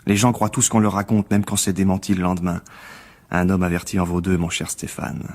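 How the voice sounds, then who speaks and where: A man's voice: low voice, level tone, brisk, a little mellow, lightly acted. A man acting in a French radio drama as a jealous, calculating rival, condescending, insinuating and smugly cruel. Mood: cold threatening.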